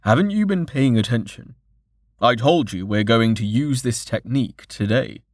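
A man's voice: medium pitch, animated, normal speed, no room sound, clean studio sound. A man voicing a cheerful, wily merchant father in an audiobook, fond but firm, with a coaxing showman's manner.

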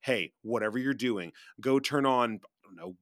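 Male podcast co-host, middle-aged, earnest and reflective, mid-pitched voice, dry studio-like sound. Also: normal speed, a bit bright, dry recording. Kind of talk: casual conversational podcast discussion.

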